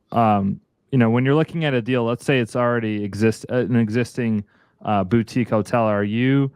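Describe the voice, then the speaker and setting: A man with a fairly low voice hosts an interview podcast. He talks conversationally, like one investor to another, and the recording is dry with little room sound. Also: dry recording.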